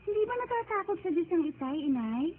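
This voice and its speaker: An actress playing a dutiful, devout young Filipino daughter in a radio drama, earnest and respectful. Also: female voice, very high voice, big pitch swings, quick pace. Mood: troubled, earnest. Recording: tinny narrow band, background noise.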